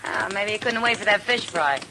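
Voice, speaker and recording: A woman playing a wry, warm-hearted frontier companion in a 1950s western radio drama. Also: medium pitch, expressive, a little fast, close to an everyday voice. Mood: teasing. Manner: wry, teasing. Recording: noisy 1950s radio recording, outdoor sound effects.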